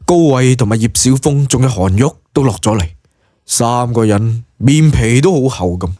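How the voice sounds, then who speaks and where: A man's voice: mid-range voice, expressive, moderate pace, mellow. A man with a Cantonese accent narrating an audiobook story in a dramatic, colloquial storytelling style.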